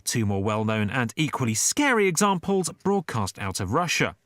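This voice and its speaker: A man's voice: medium pitch, very animated, moderate pace, fairly bright tone, no echo, studio quality. A man reading scripted investigative narration as a documentary narrator.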